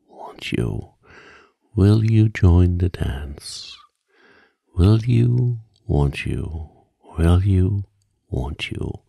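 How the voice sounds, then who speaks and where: Coaxing fish in storybook verse, recited by a man: gentle lilt, mellow, fading into whispers. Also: extremely low pitch, vocal fry, breathy edge, no echo, clean studio sound, exaggerated voice.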